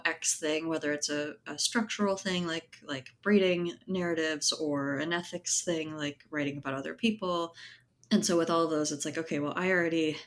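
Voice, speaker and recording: A woman's voice: deep voice, a little hiss or hum. A woman speaking as an interview guest in an informal, thoughtful way, often checking in with little tag questions. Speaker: interview guest, writer and editor. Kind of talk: casual conversational interview talk.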